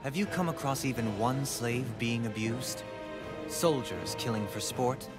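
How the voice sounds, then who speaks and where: An actor voicing a courteous, self-assured lord in a video game, speaking formally and eloquently in measured phrases. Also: male voice, mid-range voice, lightly acted. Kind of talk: video game cutscene acting. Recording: loud music behind the voice. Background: music.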